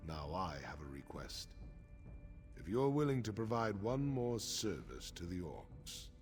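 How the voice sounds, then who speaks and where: An actor playing a grave, honorable middle-aged orc warrior chief in a video game, speaking in a solemn, measured, frank way. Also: male voice, low voice, big pitch swings, a little mellow. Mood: solemn, earnest. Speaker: quest-giving game character. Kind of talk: scripted video game dialogue. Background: game music.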